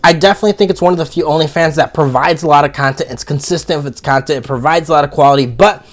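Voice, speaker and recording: A man's voice: a little high, racing. A man with a froggy, sore-throated voice from being sick, giving a blunt, profane comedic review in a casual monologue. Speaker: video reviewer.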